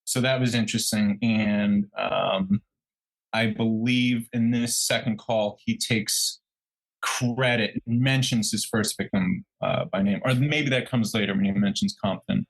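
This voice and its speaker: A man co-hosting a podcast, adding true crime details in a conversational, halting way. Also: on the low side, steady tone, gravelly, low-quality recording. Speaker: podcast co-host.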